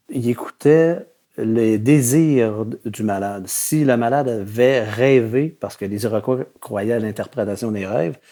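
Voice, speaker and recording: An older man from Quebec City with a Québécois accent, answering interview questions in a relaxed way, with many fillers and phrases that trail off. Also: medium pitch. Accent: Québécois French. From Quebec City, Canada. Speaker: guest historian.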